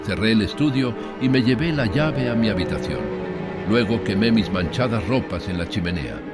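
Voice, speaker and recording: A man's voice: fairly low pitch, expressive, normal speed, loud music behind the voice. A man narrating a horror story in a dramatic first-person audiobook style.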